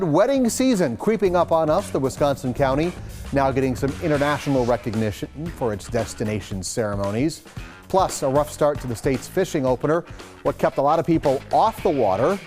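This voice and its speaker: A man's voice: a little high, expressive, a little fast, a little mellow. American guy anchoring local tv news, reading scripted stories, bit of music under him. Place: TV news studio.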